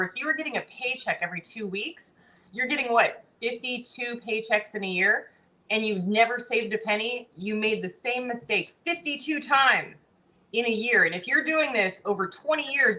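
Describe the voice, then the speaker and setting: A woman's voice: medium pitch, sharp tone, low-quality recording. A woman in her forties, a guest in a casual interview, talking bluntly and emphatically about saving money. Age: 40s. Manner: emphatic, blunt, lecturing with repetition.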